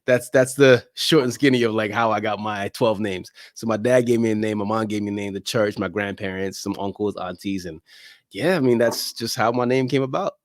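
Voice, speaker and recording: A man with a fairly low voice talks as a podcast guest in a dry, close-sounding recording. He tells stories conversationally, repeating phrases for emphasis and checking in with the listener. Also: brisk, no room sound. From Nigerian heritage, spent childhood time in South London. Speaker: podcast guest, soccer media figure. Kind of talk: casual conversational storytelling.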